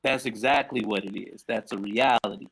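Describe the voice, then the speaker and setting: A man's voice: on the low side, normal speed, a bit bright, breathy edge, poor audio. A man co-hosting a casual talk show, telling a story in a laid-back way and often repeating words. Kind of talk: casual chat.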